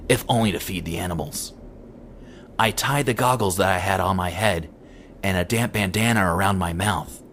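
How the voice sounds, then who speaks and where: A man reading a scripted horror story as an amateur narrator, in a measured, somber storytelling manner. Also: on the low side, fairly bright tone. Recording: clearly noisy home recording.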